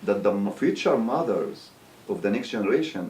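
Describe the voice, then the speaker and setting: A man hosting an interview, often interjecting and speaking in halting phrases with fillers. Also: on the low side, expressive, darkish tone. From Egypt. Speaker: talk show host. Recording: roomy distant mic with faint hum.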